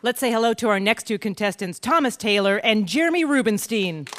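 A woman's voice: mid-range voice, animated, a little fast, a bit bright, a faint crowd. A woman hosting a live quiz show, chatting with contestants in an upbeat, bantering way. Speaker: game show host.

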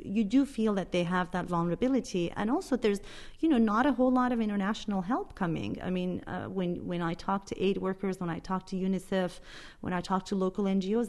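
A woman's voice: on the low side, a little mellow. A woman speaking as a reporter in an interview, explaining things in an earnest, emphatic way. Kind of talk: spoken radio interview, explanatory.

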